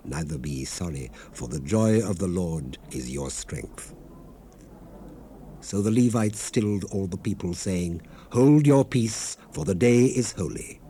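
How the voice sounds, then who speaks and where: A low, mellow male voice on a faintly hissy old cassette. He reads scripture as solemn drama, grave and declamatory, with measured phrasing that rises and falls widely. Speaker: narrator of a dramatized Bible recording. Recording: noisy 1970s cassette rip. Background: ambient sound effects, tape noise.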